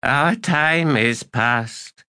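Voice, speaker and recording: A man voicing a weary, melancholy old male elder god, speaking in a resigned, slow and measured way. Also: medium pitch, bright, forceful tone, smooth, pitched above the performer's own voice. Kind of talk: audiobook character reading.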